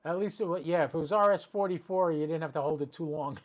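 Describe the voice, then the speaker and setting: A man's voice: on the high side, quick pace, dull audio. Chatty man hosting a casual livestream. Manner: chatty, rambling, addressing viewers by name. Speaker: amateur radio livestream host.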